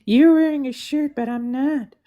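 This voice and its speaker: A man putting on a high, exaggerated voice for lines quoted from an old scripture reading. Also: fairly even intonation, moderate pace, mellow. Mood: mock swooning.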